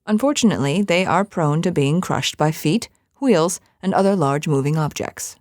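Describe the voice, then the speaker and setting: A woman's voice: sits low, moderate pace, darkish tone, no room sound, clean studio sound. A woman narrating a scripted audio drama in a storybook style. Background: music with guitar.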